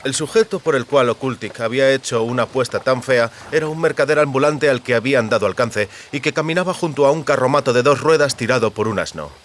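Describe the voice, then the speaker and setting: A male narrator reads a fantasy audiobook in a literary storytelling style. His pitch is average, and there is a light ambience behind his voice. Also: fast, few pauses, slight background noise.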